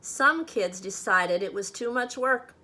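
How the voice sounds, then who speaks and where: A woman reading a children's picture book aloud expressively, with measured, dramatic phrasing meant for young listeners. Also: medium pitch, expressive, sharp tone. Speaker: storybook reader.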